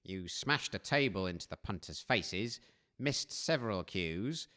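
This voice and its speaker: A man's voice: lowish voice, animated, unhurried, pushed and bright. A man playing a stern, vain railway master in an audio comedy, with a dry, fed-up, scolding manner.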